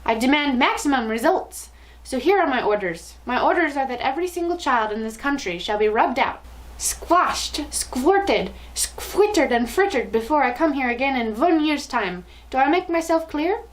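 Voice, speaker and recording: A woman's voice: sits high, lively intonation, normal speed, voice pushed up, poor audio. A woman voicing a tyrannical witch queen with a harsh, guttural foreign accent who snarls, shrieks and rolls her Rs. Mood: furious, shrieking. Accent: harsh guttural foreign accent, odd W and rolled R.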